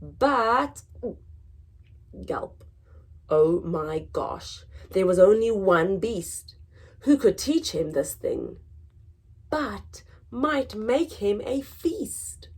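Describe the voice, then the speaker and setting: A woman reading a children's picture book aloud in a dramatic, expressive storytelling manner, moving between hushed and emphatic delivery. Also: medium pitch, extremely slow, pauses often, slightly rough. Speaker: story time reader.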